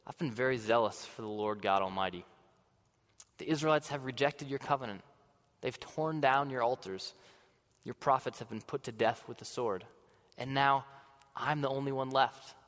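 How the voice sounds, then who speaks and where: A man playing a weary, passionate older prophet in a dramatic monologue to a congregation, earnest and swinging from fervent outbursts to hushed sadness. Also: mid-range voice, close to an everyday voice. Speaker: dramatic first-person storyteller. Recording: long hall reverb.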